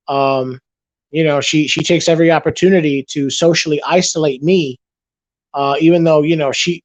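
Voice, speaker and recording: A man's voice: on the high side, no echo. A man hosting a casual late-night livestream, rambling and restarting his sentences, reading out chat messages, his voice getting worn after a long session. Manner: rambling, repeats himself, restarts.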